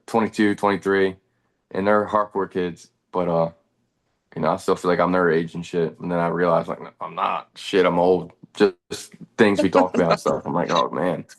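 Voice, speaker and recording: A man in his thirties, a band's bass player, talking in a relaxed, rambling way during a casual chat. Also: normal speed. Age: 30s.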